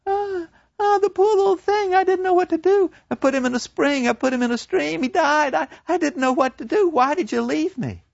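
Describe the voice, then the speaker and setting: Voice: high voice, brisk, gravelly, no echo, voice pushed up. A storyteller voicing an unhinged young woman in a jail cell, pleading and stammering, in a dull, dry lo-fi recording. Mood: distraught.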